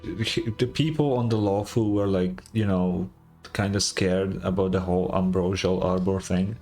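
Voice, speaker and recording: Male game streamer, casual reactions, very creaky, slow delivery over game music. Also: low voice, steady tone, a little mellow. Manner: informal, sweary, brief reactions. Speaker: gaming streamer.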